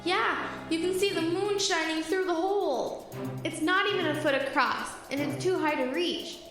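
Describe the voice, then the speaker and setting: A young female performer acting in an audio drama as an anxious, excitable boy adventurer. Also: very high-pitched, moderate pace, recorded from a distance. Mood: excited. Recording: echoey reverb, loud music behind.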